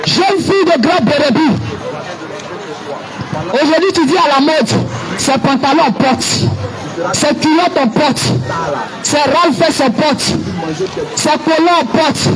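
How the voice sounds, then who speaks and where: A man preaching an outdoor evangelistic sermon in Ivorian French, shouting and exhorting his listeners with repeated refrains. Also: very high-pitched, slow pace, pauses often, poor audio. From Ivory Coast. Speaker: street preacher. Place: outdoors in a town, with a crowd. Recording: loud street crowd and music.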